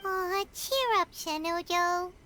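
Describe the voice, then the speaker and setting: A woman voicing a tiny, cheerful, childlike floating companion in a game, squeaky, blunt and chatty. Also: slow, sharp tone, heavily acted. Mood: consoling.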